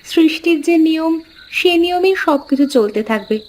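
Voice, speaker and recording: A woman's voice: very high voice, animated, normal speed, a little mellow. A woman voicing a caring, practical village farmer's wife in a cartoon folk tale, homely and coaxing. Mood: gentle, wistful.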